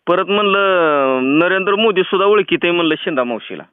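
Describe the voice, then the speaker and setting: A man with a notably high, smooth voice and a rural Maharashtrian accent. He talks in a chatty, persistent, colloquial way, like a prank caller teasing someone. The sound is thin and telephone-like. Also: animated, fairly quick, no room sound. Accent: rural Maharashtrian Marathi. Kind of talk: casual teasing phone chat. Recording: tinny, phone-like band-limited sound.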